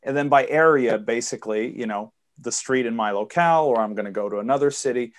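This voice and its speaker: A man's voice: medium pitch, fairly quick. Swedish-American man explaining investing. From Sweden. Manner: fluent, explanatory, with fillers. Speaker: guest investor. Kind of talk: podcast interview.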